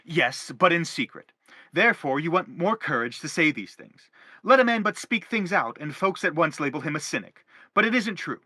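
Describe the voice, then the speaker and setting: A man's voice: a little high, big pitch swings, normal speed, sharp tone, dry recording, studio quality, full character voice. An actor playing a middle-aged father in a stage play, formal and declamatory as he anguishes and justifies himself. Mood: insistent. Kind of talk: theatrical stage play acting.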